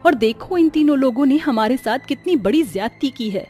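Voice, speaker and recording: A woman's voice: sits high, animated, normal speed, dark tone, breathy edge, faint music behind, pitched above the performer's own voice. A woman voicing a bold, dramatic young daughter-in-law in a cartoon story, with exclamatory, theatrical delivery. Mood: angry, accusing. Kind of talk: Hindi cartoon story acting.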